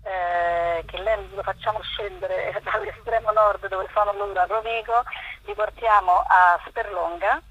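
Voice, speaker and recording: A woman's voice: mid-range voice, fairly even intonation, a little slow, a little hiss or hum. A woman speaking as a guest organizer in a radio phone interview, over a muffled line.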